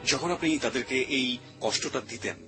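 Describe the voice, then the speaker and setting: A man's voice: medium pitch, moderate pace. A man with a Bangladeshi accent, hosting a radio show and asking probing questions in a conversational way. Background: background music.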